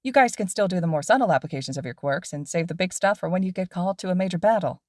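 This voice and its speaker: A woman voicing a loud, cheerful male hero teacher with an exuberant, projecting manner. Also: fairly low pitch, fast talker, no echo, clean studio sound, natural voice. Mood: upbeat.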